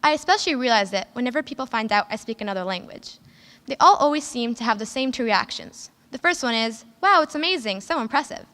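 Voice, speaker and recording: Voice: mid-range voice, animated, fast talker, bright, forceful tone. An early-teen student whose first language is Lebanese Arabic, giving an earnest, prepared speech at school with a few careful restarts. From United States. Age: early teens. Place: school hall. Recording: long hall reverb.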